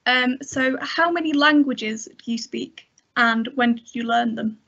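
A woman's voice: a little high, measured pace, gravelly, bright, forceful tone. Young woman hosting over a headset. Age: young adult. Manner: friendly, reads closing announcements. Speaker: host. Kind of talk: hosting online event. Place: indoors in a bedroom. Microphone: headset on video call. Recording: dull lo-fi video call.

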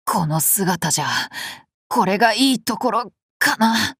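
A boyish young warrior king for an anime game, confident and curious. The voice is high and bright, with unhurried, courteous phrasing. It sits close and dry over game music. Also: expressive, slightly rough, no echo, full character voice. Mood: rueful, good-natured. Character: eager, polite boy king and mounted swordsman. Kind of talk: Japanese anime game battle voice lines.

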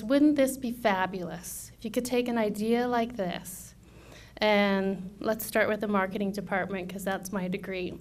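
A woman in her thirties with a soft voice, giving a persuasive conference presentation at a podium microphone in a university room, asking many rhetorical questions. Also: medium pitch. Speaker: conference speaker.

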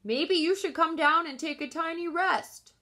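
A woman voicing a caring, encouraging father in a children's storybook, with a gentle, reassuring manner. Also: very high voice, measured pace, voice pushed up, exaggerated voice. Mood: concerned.

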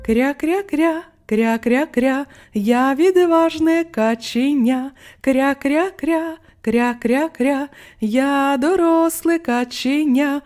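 A woman's voice: high-pitched, slow, hardly any pauses, a little mellow, faint music behind, voice pushed up. A woman voicing a boastful, quarrelsome little male duckling in a children's storybook tale. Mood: boastful singing. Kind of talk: storybook character voice.

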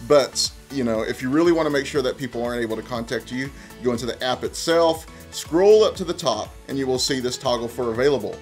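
A man's voice: mid-range voice, fast, hardly any pauses, soft background music. A man in his thirties presenting a how-to tutorial in a conversational, step-by-step way. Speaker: tech presenter. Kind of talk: casual how-to tutorial narration.

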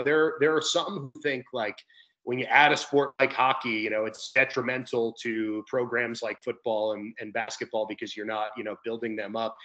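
A young man talks as an interview guest on a video call, in an average-pitched voice. He is earnest and emphatic, making his case conversationally with rhetorical questions. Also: sharp tone, poor audio. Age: young adult. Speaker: sports radio play-by-play broadcaster, guest. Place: indoor room at home, video call. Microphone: video call, close to webcam.

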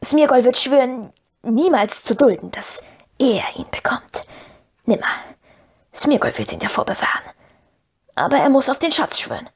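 A woman voicing a wretched, scheming little male cave creature from a fantasy story, with a hoarse, whispery croak and a cringing, drawn-out whine. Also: sits high, big pitch swings, voice pushed up, heavily acted. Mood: fearful, fawning. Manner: whining, drawn-out, pleading.

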